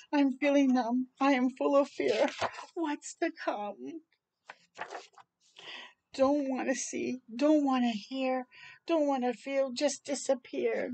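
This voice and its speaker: An older woman hosting a children's storytime, reading a rhyming picture book aloud in a dramatic, deliberate way with brief asides. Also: sits high, fairly even intonation, vocal fry, mellow, slight background noise. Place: outdoors on a porch.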